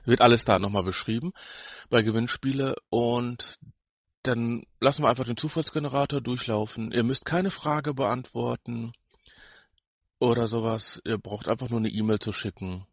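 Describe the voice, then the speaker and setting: Guy co-hosting a podcast, jokey casual chat, normal pitch, really dry sound. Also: steady tone, normal speed, dry recording. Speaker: podcast co-host. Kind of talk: casual banter with co-host.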